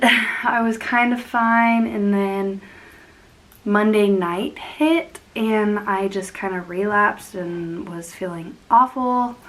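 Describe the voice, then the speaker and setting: A young woman hosting a casual plant vlog, sniffly and congested from being sick, rambling and laughing often as she talks. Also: medium pitch. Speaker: plant channel host.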